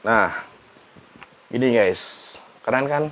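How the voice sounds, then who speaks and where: A man's voice: medium pitch, slow, a bit bright, lo-fi sound. A man giving a casual, informal product review, repeating himself as he chats to viewers like a vlogger. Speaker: vlogger reviewing motorcycle helmet intercoms.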